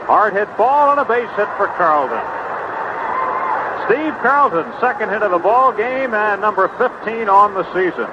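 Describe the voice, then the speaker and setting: Man, baseball play-by-play, higher-pitched voice, muffled vintage radio, heavy noise. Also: expressive, moderate pace. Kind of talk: live radio sports commentary. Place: stadium broadcast booth.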